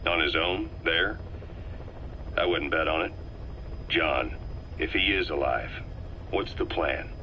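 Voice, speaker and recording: An actor playing a shrewd, world-weary intelligence operative in a video game cutscene, speaking in a measured, knowing way. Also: male voice, very deep voice, pushed and bright, a little hiss or hum, full character voice, rough recording. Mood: matter-of-fact.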